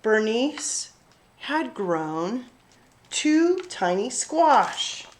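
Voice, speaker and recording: Slow, animated woman reading a children's story. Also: a little high. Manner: deliberate, dramatic phrasing for children. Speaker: children's story-time reader. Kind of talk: expressive picture-book read-aloud narration.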